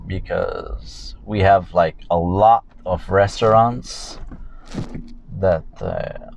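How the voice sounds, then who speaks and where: A man from Quebec with a French Canadian accent, narrating casually while he drives at night, pausing often with fillers. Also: on the low side, darkish tone, slight background noise. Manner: halting, many fillers and pauses. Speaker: vlogger. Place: inside a car at night.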